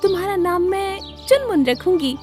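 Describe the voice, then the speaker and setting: Lady doing a sweet motherly cartoon bird, really high voice, kind and tender. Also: animated, moderate pace, darkish tone, soft background music.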